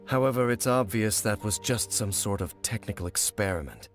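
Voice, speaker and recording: Male actor as a grave mysterious wanderer for a video game; low, solemn, measured, faint music bed. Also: lively intonation, close to an everyday voice.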